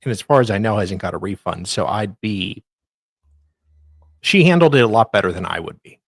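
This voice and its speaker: A man's voice: lowish voice, animated, moderate pace, no echo, clean studio sound. A man co-hosting a show in casual, conversational chat. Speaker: co-host.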